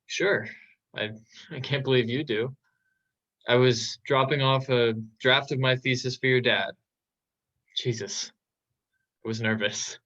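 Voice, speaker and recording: A young man playing an earnest, shy graduate student in a stage drama, speaking in a hesitant, halting way. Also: medium pitch, fairly even intonation, fairly bright tone, lo-fi sound, lightly acted. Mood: nervous, tender. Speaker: student actor. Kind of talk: naturalistic stage drama acting.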